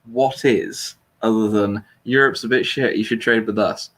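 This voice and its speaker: Deep-voiced man in casual discussion. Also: steady tone, normal speed, fairly bright tone. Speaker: host discussing a political transcript. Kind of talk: casual conversational political discussion.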